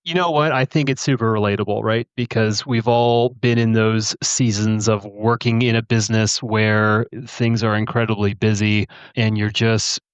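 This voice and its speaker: A man in casual podcast conversation, explaining things in a measured way, with a slightly dull recording. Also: on the low side, fairly even intonation, clean tone, no room sound.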